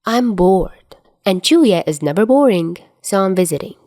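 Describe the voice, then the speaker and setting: A woman's voice: medium pitch, expressive, unhurried, a little mellow, dry recording, clean studio sound, close to an everyday voice. A woman voicing an airy, playful, theatrical young man with a sing-song, whiny way of talking in an audiobook story. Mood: airy, admitting.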